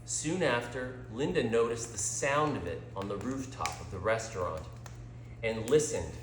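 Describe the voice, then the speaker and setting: A man reading a scripted story as a storyteller, with a dramatic, deliberate delivery. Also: mid-range voice, expressive, slight background noise. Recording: echoey room.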